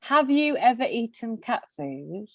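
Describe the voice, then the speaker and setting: A woman's voice: on the high side, big pitch swings, measured pace, a little mellow, no room sound. Blunt laughing woman co-host, dry sound. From half Greek, half English. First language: Greek. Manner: blunt, laughs often, swears. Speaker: podcast co-host. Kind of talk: casual chat reading out messages.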